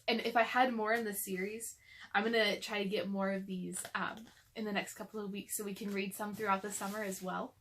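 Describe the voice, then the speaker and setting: A woman's voice: a little high, fairly bright tone. A young adult woman chatting casually to children and reading a storybook aloud to them.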